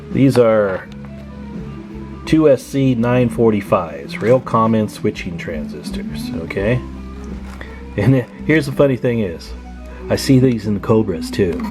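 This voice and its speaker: A man's voice: medium pitch, lively intonation, slow, pauses often, over music. A man giving a casual, hands-on walkthrough as a hobbyist, thinking aloud in a folksy, musing way. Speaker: hobbyist presenter.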